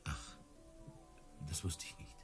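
An actor playing an earnest, easily hurt big-cat tamer in love, in a radio play. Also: male voice, slow pace, soft background music, lightly acted. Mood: earnest, defensive.

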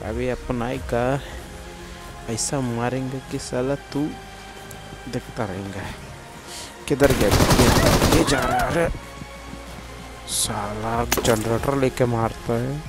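A man with a sore, hoarse throat, giving excited trash-talking gameplay commentary. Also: medium pitch. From India. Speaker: gaming channel host. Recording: commentary over loud game music and gunfire.

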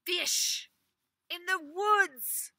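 A woman voicing a stern landowning master who questions gravely and loudly. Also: medium pitch, drawn-out words, no echo, clean studio sound, heavily acted. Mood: puzzled dismissive. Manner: gravely demanding.

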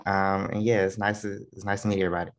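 A man with a low, flat voice introduces himself in a laid-back, unbothered way. He speaks casually. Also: fast. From Third Ward, Houston.

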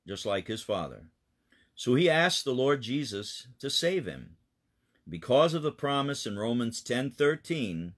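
A man reads a story for children in a medium-pitched voice. He sounds like a pastor explaining things simply, with deliberate phrasing and small clarifying asides.

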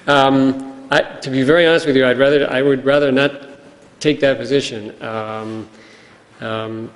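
A man's voice: mid-range voice, steady tone, measured pace. A middle-aged man, a school administrator, answering questions hesitantly and carefully at a public meeting. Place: indoor meeting room, at podium. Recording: reverberant meeting hall, faint noise.

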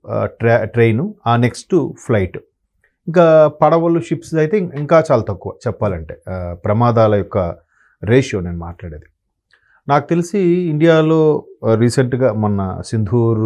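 A man with a mellow, warm tone gives conversational commentary as an analyst guest. He speaks, hesitating often with fillers and pausing to hunt for the right word. His pitch sits in the middle range. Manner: hesitant, many fillers and word-searching pauses.

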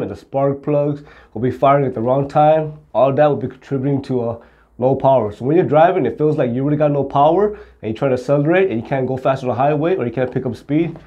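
A man's voice: mid-range voice, mellow, dull audio. A middle-aged man explaining car repair to camera in a plain-spoken, step-by-step way. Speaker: auto repair instructor. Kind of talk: hands-on instructional explanation to camera.

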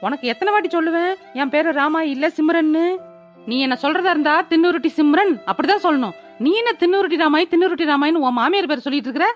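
A woman voicing a sassy, sharp-tongued young friend in a cartoon. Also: high voice, fast, faint music behind, close to an everyday voice. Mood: teasing. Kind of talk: cartoon voice-over dialogue.

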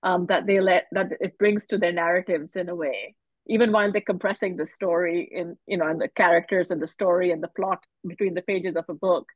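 A woman novelist on a video call, reflecting slowly and often restarting her phrases. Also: fairly low pitch, fairly even intonation, dry recording. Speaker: novelist panelist. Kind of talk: casual panel discussion. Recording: dull video call audio.

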